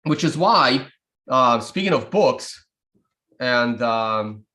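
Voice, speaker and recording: A man's voice: mid-range voice, animated, takes it slowly, pushed and bright. A man in his thirties whose first language is Russian, rambling off the cuff with many fillers and restarts while hosting a casual trading stream over a webcam video call. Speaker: trading show host. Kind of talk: casual live-stream chat. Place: home studio room, indoors.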